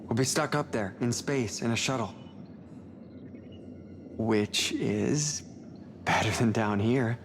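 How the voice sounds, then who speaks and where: An actor playing a sardonic, pessimistic space crewman with a gravelly voice in a sci-fi game. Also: medium pitch, slow, pauses often, a little hiss or hum. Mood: sardonic, blunt. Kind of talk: sci-fi game dialogue acting.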